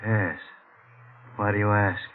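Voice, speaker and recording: A man's voice: on the low side, fairly even intonation, takes it slowly. An actor playing an eccentric, volatile male composer in a 1940s-style radio mystery, with precise, polite diction and a brooding, theatrical manner. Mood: eerily polite. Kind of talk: melodramatic 1940s radio acting. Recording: tinny old radio recording with music.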